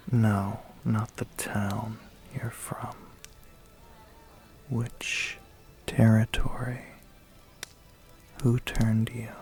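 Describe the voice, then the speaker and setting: A man voicing a suave, menacing vampire biker in an ASMR roleplay, slow and teasing with a breathy, gravelly half-whisper. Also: lowish voice. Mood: cool warning. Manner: slow, teasing, quietly threatening.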